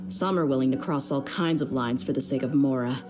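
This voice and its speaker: A young desert mercenary woman with a low, grounded voice. She is loyal and easygoing, talking frankly and with plenty of slang, as in a video game. Music plays prominently behind her. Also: fairly quick. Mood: frank, serious.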